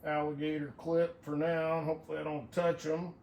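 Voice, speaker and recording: A man's voice: fairly high pitch, little pitch movement, normal speed, creaky, a little mellow, a little hiss or hum. A man talking casually to viewers in a folksy, unscripted way, thinking aloud as he tinkers through a hands-on demonstration. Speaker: hobbyist CB radio vlogger.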